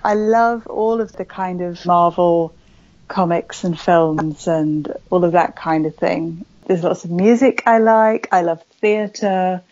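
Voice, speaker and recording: A woman's voice: on the low side, fairly even intonation, slow pace, a little mellow, dull audio. A woman from West Wales, an author, talking casually and at length as a podcast guest, with many fillers. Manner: chatty, rambling with fillers. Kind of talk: casual interview chat.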